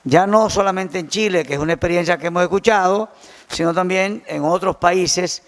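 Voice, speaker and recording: A man's voice: high voice, moderate pace. A man moderating a conference and formally introducing a guest speaker. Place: conference hall.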